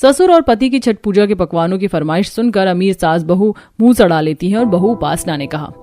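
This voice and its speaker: A woman's voice: mid-range voice, expressive, a little fast, mellow, soft background music. Woman narrating a cartoon story.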